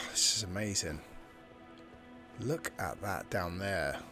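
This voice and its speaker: A man's voice: deep voice, slow, music in the background. Man giving casual gaming commentary. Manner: informal, thinking aloud. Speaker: gameplay video host.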